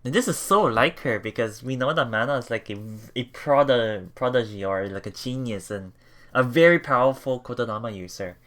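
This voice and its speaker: An excitable game streamer who reads story text aloud and reacts casually, often repeating words and phrases. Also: medium pitch, normal speed. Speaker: let's play streamer.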